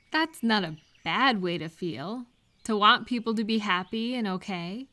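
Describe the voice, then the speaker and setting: A woman playing a kind, caring friend in a comfort roleplay audio, speaking gently and reassuringly with soft questions. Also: mid-range voice. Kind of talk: comfort audio roleplay, one-sided conversation.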